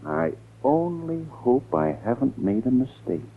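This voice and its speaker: Animated, calculating politician on old radio. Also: male voice, mid-range voice, unhurried, vocal fry, slightly rough, full character voice. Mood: feigned worry. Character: scheming, ambitious male district attorney, falsely affable. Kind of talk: 1940s radio acting. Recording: tinny 1940s radio recording.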